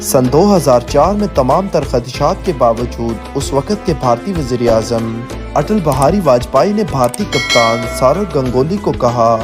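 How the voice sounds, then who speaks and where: A man with a Pakistani accent reads scripted news narration in a formal, declarative manner. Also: mid-range voice. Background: background music.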